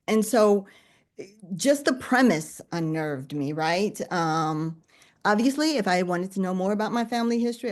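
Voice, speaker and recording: A woman author telling her own story on a panel in a conversational, deliberate and reflective way. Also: fairly low pitch, darkish tone.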